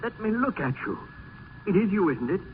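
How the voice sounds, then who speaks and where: A man's voice: very animated, normal speed. A man playing a nervous, courtly aristocrat in a gothic radio drama, theatrical and effusive in his speech. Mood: astonished. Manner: theatrical, effusive, high-strung. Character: nervous, courtly, ailing aristocrat in gloomy mansion. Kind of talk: old-time gothic radio drama acting. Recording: muffled, faintly noisy old radio.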